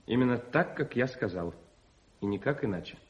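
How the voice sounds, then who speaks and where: A man's voice: mid-range voice, expressive, normal speed, lightly acted. An actor playing a coolly ironic, provocative young man in a radio drama, dry and mock-polite. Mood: coldly polite. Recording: dull early-1980s radio play recording.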